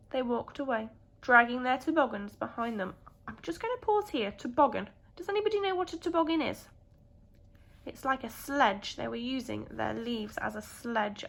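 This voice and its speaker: A woman's voice: fairly high pitch, moderate pace, gravelly, fairly bright tone. A woman with a British accent, a primary school teacher telling a story expressively to young children.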